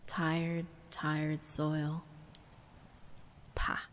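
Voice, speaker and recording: A woman giving a dramatic first-person narration of a visual novel, theatrical and hushed, with deliberate pauses. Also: low voice, monotone. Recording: long reverb, music underneath. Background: background music.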